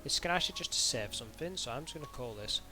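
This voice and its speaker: A man narrating an informal software tutorial in a hesitant, halting way, as if thinking aloud. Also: mid-range voice, lively intonation, over music. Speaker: tutorial instructor.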